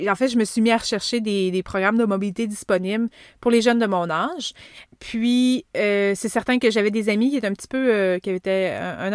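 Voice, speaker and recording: A woman in her thirties with a Québécois accent talks through her own story as a podcast host. She speaks chatty and loose, with frequent asides, fillers and restarts. Her voice sits at a middle pitch and is recorded close and dry. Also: no room sound. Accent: Québécois French. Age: 30s. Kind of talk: casual personal podcast monologue.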